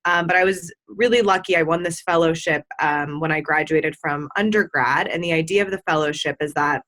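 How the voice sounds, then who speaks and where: A young woman on a video call, answering interview questions in a chatty, relaxed way, with frequent ums and likes. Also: sits low, brisk. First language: Farsi. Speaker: guest.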